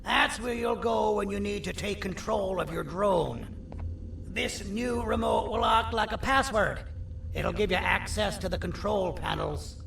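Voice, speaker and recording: A man playing a sinister, self-important monster surgeon in a video game, pompous, theatrical and condescending, with a gravelly voice. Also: high-pitched, measured pace, full character voice. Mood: smug, showy. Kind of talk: video game villain character acting. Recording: roomy echo, distant, faint noise.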